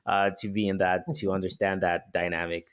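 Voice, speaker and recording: A man's voice: low voice, level tone, a little fast, clear, resonant tone. A man who works as a voice actor, talking casually and enthusiastically as an interview guest.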